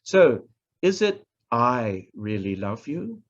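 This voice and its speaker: A man with a New Zealand accent giving a public speaking workshop, talking in a measured, deliberate way and stressing words to demonstrate. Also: mid-range voice, very animated. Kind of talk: slow instructional presentation. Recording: dull lo-fi recording.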